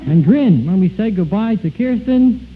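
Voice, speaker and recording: High-voiced American man, old radio comic. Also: expressive, moderate pace, husky. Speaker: comic sidekick on variety show. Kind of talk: 1940s radio comic recitation. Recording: tinny, noisy 1940s radio broadcast.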